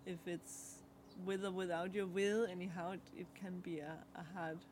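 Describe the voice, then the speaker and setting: Woman with a Danish first language, halting. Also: fairly low pitch, fairly even intonation, a little mellow, slight background noise. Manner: halting, many pauses and fillers. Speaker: podcast co-host. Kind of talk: casual chat, card reading.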